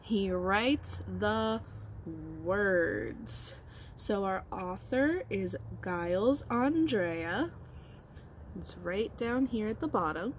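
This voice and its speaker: A woman's voice: medium pitch, lively intonation, very slow, slight background noise. A woman teacher leading storytime for young children, explaining things simply and prompting them with questions. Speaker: early-grade teacher.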